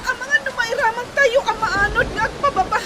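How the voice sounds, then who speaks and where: A woman's voice: very high-pitched, fairly quick. A woman playing a terrified woman in peril in a radio drama. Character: terrified woman clinging on during a landslide. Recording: very loud storm and crowd-like noise.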